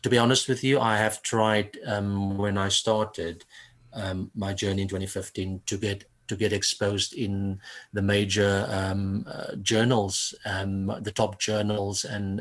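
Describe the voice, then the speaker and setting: A man's voice: fairly low pitch, little pitch movement, unhurried. A man from South Africa whose first language is Afrikaans, a poet guest in an interview, talking hesitantly with many fillers and restarts. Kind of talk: conversational interview.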